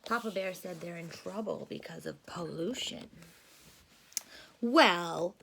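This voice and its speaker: A woman reading a children's storybook aloud and explaining it simply, in an expressive, careful teacherly way. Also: fairly low pitch, normal speed, creaky, a little hiss or hum, rough recording.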